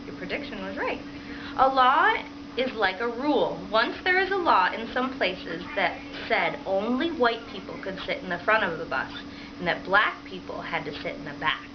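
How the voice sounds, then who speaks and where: A woman primary school teacher reading a picture book aloud to children and pausing to prompt them with questions. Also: mid-range voice, animated. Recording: dull lo-fi sound with clear background noise.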